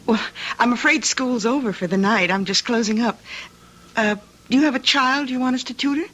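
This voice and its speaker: An actress playing an earnest young schoolteacher in an old radio drama, with a hesitant, emotional delivery. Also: female voice, a little high, a little fast, fairly bright tone, dull audio. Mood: polite, uneasy. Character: sheltered young schoolteacher, gangster's daughter, earnest and distraught.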